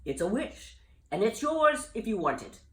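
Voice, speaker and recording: A woman voicing a sly, whimsical male wizard in a children's story, speaking slowly in a knowing sing-song. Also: a little high, sing-song, voice pushed up. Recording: echoey room.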